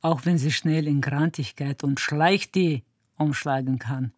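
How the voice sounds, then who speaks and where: A woman giving measured, thoughtful answers as an interviewee. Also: deep voice, a little mellow, dry recording, studio quality. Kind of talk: calm reflective answers.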